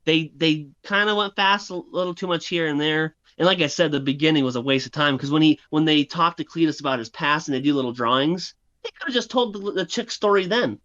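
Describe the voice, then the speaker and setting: A man's voice: fairly high pitch, fairly quick, pushed and bright. A man co-hosting a podcast, chatting casually and telling joking anecdotes, on a dull lo-fi recording. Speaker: podcast co-host. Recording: dull, lo-fi sound.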